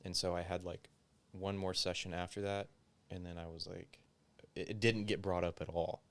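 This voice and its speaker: A man's voice: deep voice, little pitch movement, normal speed, fairly bright tone. A young man chatting casually as a podcast guest, rambling and profane, with lots of "like" fillers.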